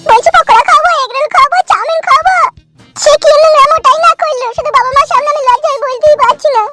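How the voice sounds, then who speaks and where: A man voicing an indignant young woman in a family cartoon, scolding through a pitched-up voice effect. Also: fast talker, pushed and bright, faint music behind. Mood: tearful complaint. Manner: scolding, outraged. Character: shrill, indignant young Bengali wife and her womenfolk.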